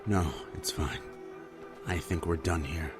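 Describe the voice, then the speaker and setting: A low, gruff-tempered survivor played by a man in an amateur apocalypse audio drama. He is curt, bitter and sarcastic, quick to defend himself, with music under the voice. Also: steady tone. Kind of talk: fan audio drama acting.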